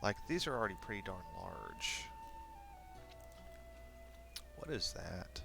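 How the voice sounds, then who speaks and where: Rambling man thinking aloud over soft music. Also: fairly high pitch, huge pitch swings, normal speed, vocal fry. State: sniffly. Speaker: streamer making battle maps. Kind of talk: casual live-stream talk to himself. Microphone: desk microphone. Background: quiet background music.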